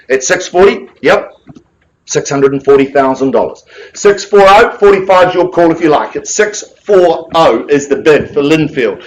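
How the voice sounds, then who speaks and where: A man with a New Zealand accent and a big booming voice, calling bids in rapid rhythmic patter with quips as a live property auctioneer in a room of bidders. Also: a bit bright, poor audio.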